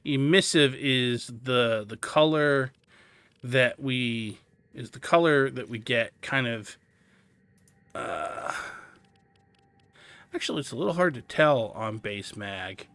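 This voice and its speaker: A man's voice: medium pitch, slow, long pauses, fairly bright tone, clear, resonant tone, dry recording. A man hosting a video game tutorial, casually explaining menus and thinking aloud as he plays. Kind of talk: casual gameplay walkthrough commentary.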